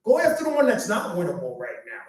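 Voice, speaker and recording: A man's voice: sits high, huge pitch swings, quick pace. A man who chairs a county party, speaking bluntly and emphatically at a panel discussion. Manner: blunt, emphatic, repeats "okay?". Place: club meeting room. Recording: echoey room, mic at a distance.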